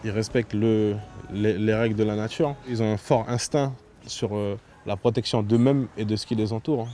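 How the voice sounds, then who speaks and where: A man's voice: fairly low pitch, fairly even intonation. French Guianese man talking over music. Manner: halting, with repeated words and restarts. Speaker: graffiti artist interviewed for a documentary portrait. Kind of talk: casual spoken interview about own work. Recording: loud music under the voice.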